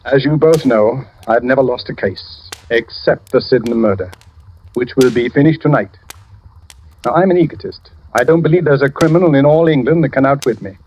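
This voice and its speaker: A faintly noisy vintage radio recording of a man acting a smug former detective. He speaks at a middle pitch, measured, assured and pompous. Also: darkish tone, close to an everyday voice. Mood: smug, theatrical. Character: self-satisfied English former detective, shrewd and theatrical. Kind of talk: old-time radio drama acting.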